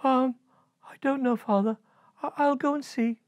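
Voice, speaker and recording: High squeaky girl princess, whiny, excitable, woman putting it on, dry close recording. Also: moderate pace, no room sound, natural voice. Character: young fretful princess, excitable and spoiled.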